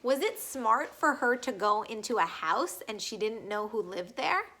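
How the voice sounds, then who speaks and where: A woman reads a children's story aloud in a high, lively voice. Her delivery is slow and emphatic, swinging widely in pitch, with little asides put to the young listeners. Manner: animated, emphatic, drawn-out key words. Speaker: storybook reader.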